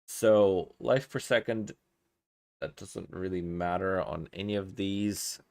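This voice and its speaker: A man from Kazakhstan gives casual gear advice on a live game stream. His voice sits low, and the sound is dry, with very little room in it. He thinks aloud, often repeating a word before carrying on. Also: takes it slowly, gravelly, darkish tone, dry recording.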